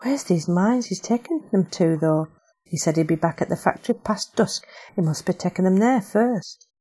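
A woman's voice: fairly low pitch, animated, normal speed, dark tone, slightly rough. A woman voicing a desperate young working woman searching for her brothers in an audiobook. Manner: pleading, urgent.

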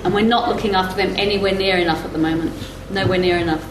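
A woman's voice: fairly low pitch, fairly even intonation, quick pace. A woman panellist speaking emphatically in a live discussion, repeating phrases for stress. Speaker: festival panellist. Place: indoor live event venue. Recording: echoey room, clear background noise.

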